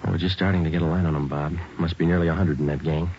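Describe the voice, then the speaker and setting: Casting: businesslike police sergeant for vintage radio crime drama, quick clipped delivery, muffled crackly sound. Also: male voice, deep voice. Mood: businesslike, deadpan. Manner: clipped, deadpan. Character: terse, businesslike male police detective sergeant. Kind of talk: 1940s radio drama narration and dialogue. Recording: muffled, noisy old radio recording with clicks.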